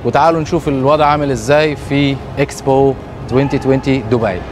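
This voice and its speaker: A man in his thirties with an Egyptian Arabic accent, vlogging excitedly outdoors and in pavilions, talking straight to viewers. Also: mid-range voice, quick pace. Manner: excitable, chatty, addresses viewers directly. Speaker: travel vlogger. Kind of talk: casual travel vlog. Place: outdoor expo grounds and indoor pavilions.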